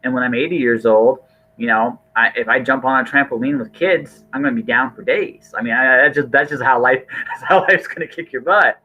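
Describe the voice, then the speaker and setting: A man's voice: medium pitch, brisk, bright, forceful tone, lo-fi sound. A middle-aged man hosting a casual podcast conversation, earnest and rambling, often repeating his words. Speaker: podcast host.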